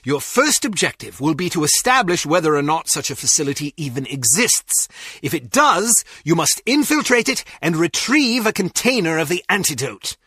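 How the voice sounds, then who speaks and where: A stern intelligence chief in a spy game, pitched high for a man and very animated. The diction is formal and measured, with a husky edge and full authority. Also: dry recording, clean studio sound, heavily acted. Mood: grave, instructive. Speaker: mission briefer. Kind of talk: scripted game mission briefing.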